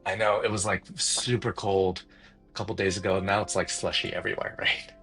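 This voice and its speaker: Deep-ish host guy, friendly, lots of likes, music faint behind. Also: flat delivery, brisk, gravelly, sharp tone. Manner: friendly, says "like" often. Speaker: podcast host. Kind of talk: podcast interview.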